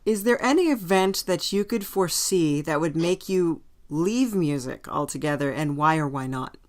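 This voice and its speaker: A woman's voice: low-pitched, expressive, normal speed. A woman interviewing a group in a casual, conversational style.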